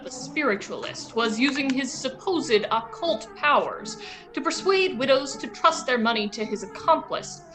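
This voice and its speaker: Lively woman narrator over music. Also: fairly high pitch, low-quality recording. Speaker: narrator of a radio drama. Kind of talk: scripted old-time radio narration.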